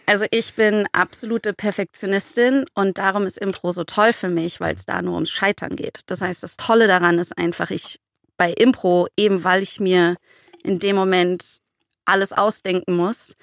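A woman's voice: medium pitch, steady tone, moderate pace, creaky, no room sound, clean studio sound. A woman chatting informally and playfully as a podcast co-host. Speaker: comedy writer, podcast co-host. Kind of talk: casual chat.

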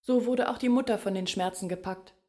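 A woman's voice: mid-range voice, a little fast, darkish tone, close to an everyday voice. An actress playing a frank village woman who comments plainly on a family's misfortune in a literary radio drama. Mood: frank, sober.

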